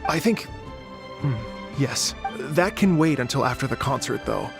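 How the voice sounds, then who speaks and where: A woman voicing an earnest young musician in a video game, halting, polite and thoughtful. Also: extremely low pitch, animated, gravelly, over loud music, exaggerated voice. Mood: hesitant, thoughtful.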